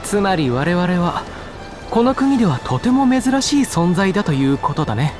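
A man voicing a polite, thoughtful young traveler in a game, speaking in a measured way. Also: high voice, big pitch swings, music in the background.

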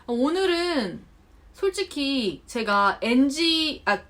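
Korean woman in her 30s chatting to the camera, voice a bit high, jumps all over, acts out what people said. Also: slow pace. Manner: chatty, animated, acts out quoted remarks. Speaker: vlogger. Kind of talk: unscripted talk to camera. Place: home in Japan.

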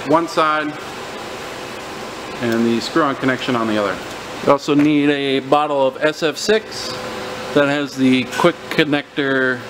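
Male technician, thirties, mid-pitched voice, casual instructional walkthrough, recorded over clearly audible machine hum. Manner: informal, step-by-step explaining. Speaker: technician demonstrating equipment maintenance. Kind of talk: casual hands-on instructional demonstration. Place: indoor lab among large machines. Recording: roomy, distant mic, loud machine hum. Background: air conditioning and machinery noise.

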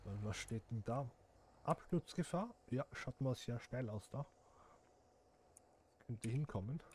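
A man's voice: medium pitch, animated, measured pace, a little mellow, a little hiss or hum. Chatty man narrating gameplay. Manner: chatty, thinks aloud while playing, addresses viewers. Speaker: gaming channel host. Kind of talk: casual gameplay commentary.

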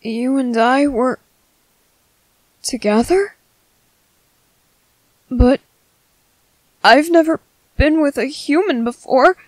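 A woman playing a gentle, worried young merboy in an ASMR roleplay, speaking softly and hesitantly to comfort someone. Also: high voice, slow, pauses often, no room sound, rough recording. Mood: confused, hesitant. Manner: soft, hesitant, soothing.

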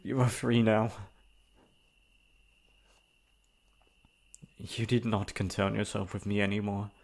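A man playing a remorseful, self-loathing young prince in a formal, halting audio roleplay monologue. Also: fairly low pitch, little pitch movement, creaky, a little mellow. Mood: anguished, pleading. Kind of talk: dramatic ASMR roleplay monologue.

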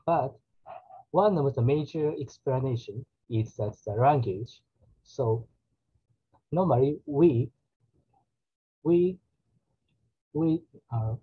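A man haltingly explaining a research point over a video call, with many restarts. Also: medium pitch, husky, dull audio. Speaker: podcast co-host.

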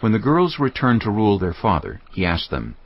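A man narrating scripture in a measured, solemn way. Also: lowish voice, wildly swooping pitch, slightly rough. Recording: dull studio track under music.

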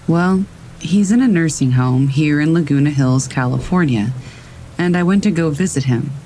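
A man calmly reading scary stories aloud as a narrator, in a steady storytelling manner. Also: fairly high pitch, moderate pace, a little hiss or hum, poor audio. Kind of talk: scripted narration of reader submissions. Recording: faint rain in the background. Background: rain.

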